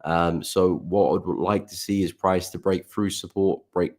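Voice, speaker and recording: A man hosting a live market analysis stream, his voice croaky as he keeps clearing his throat, chatting relaxedly and greeting viewers by name. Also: deep voice, fairly even intonation, unhurried, a little mellow. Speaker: forex trading livestream host.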